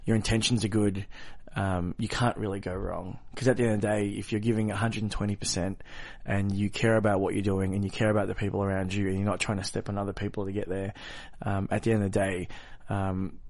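A man's voice: fairly low pitch, flat delivery, quick pace, a little mellow. A man with an Australian accent chatting casually as an interview guest, informal and self-deprecating, in a loose, rambling way. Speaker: interview guest, professional wrestler. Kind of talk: casual, joking interview chat.

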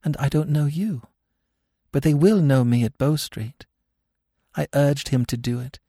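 Man voicing a husky, world-weary young woman crook. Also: lowish voice, expressive, mellow, no echo, clean studio sound, full character voice. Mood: tearful, pleading. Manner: slow, cool delivery. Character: cynical world-weary young blonde woman thief, unemotional then tearful.

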